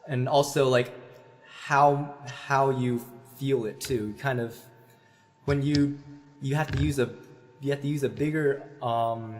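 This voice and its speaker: A man teaching singing over a video call, speaking at a middle pitch with frequent ums and restarts. His headset mic picks up a faint hum behind him. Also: steady tone, a little slow. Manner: thoughtful, hesitant with many fillers, demonstrates sung vowels.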